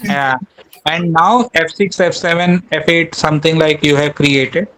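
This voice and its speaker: A man's voice: on the high side, a little slow, fairly bright tone, poor audio. Hesitant man giving a talk. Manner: hesitant, many fillers and restarts. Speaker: guest speaker. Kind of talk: informal webinar talk.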